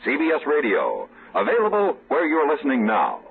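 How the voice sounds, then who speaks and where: A man's voice: medium pitch, wildly swooping pitch, brisk, creaky. An American man reading a formal, polished promotional script as a 1950s network radio announcer. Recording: vintage radio broadcast, muffled, faint noise.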